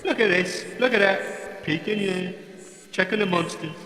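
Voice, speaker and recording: A man's voice: high voice, normal speed, pushed and bright, faint music behind. A man voicing a grumbling, cheeky old working-class robot with a British accent, blokey and sarcastic, in a comic audio drama. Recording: echoey hall, crowd noise behind.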